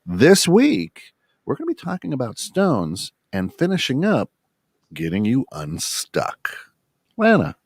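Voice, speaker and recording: A man announcing a psychic talk show, reading slowly with a sing-song lilt. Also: medium pitch, huge pitch swings, slightly rough, no echo, clean studio sound. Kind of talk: announcing the show, then casual chat.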